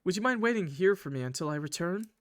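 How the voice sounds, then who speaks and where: A man's voice: high-pitched, expressive, fast, no echo, studio quality, pitched above the performer's own voice. A man voicing a polite, solicitous, subtly creepy young male train conductor in a visual novel. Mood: courteous.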